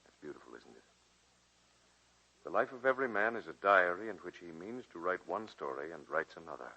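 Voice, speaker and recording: An actor playing an earnest, romantic college professor with a literary, measured manner in a 1940s radio drama. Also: male voice, low-pitched, expressive, sharp tone, husky, full character voice. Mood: tender, literary. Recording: muffled vintage 1940s radio broadcast, music behind.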